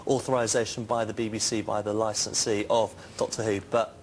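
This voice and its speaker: A man's voice: lowish voice, flat delivery, brisk, a little hiss or hum. A British man presenting and interviewing as host of a television shopping show.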